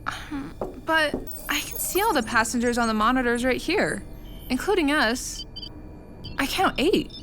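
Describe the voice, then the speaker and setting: Woman playing lively high-voiced teasing student. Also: measured pace, soft background music. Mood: puzzled, dismissive. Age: young adult. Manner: casual, teasing. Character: breezy, teasing young female student. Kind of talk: audio drama acting.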